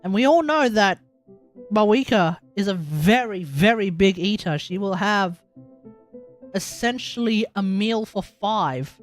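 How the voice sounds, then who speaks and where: A woman's voice: medium pitch, animated, a little slow. Slangy Australian woman streaming, music behind. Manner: slangy, emphatic, repeats phrases for effect. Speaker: game livestreamer. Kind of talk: casual live reaction commentary to a game.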